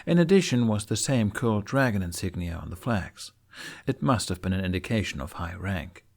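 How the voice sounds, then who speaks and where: A man narrating a fantasy story in an expressive, theatrical audiobook style. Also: fairly low pitch, moderate pace, creaky. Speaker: fan fiction narrator.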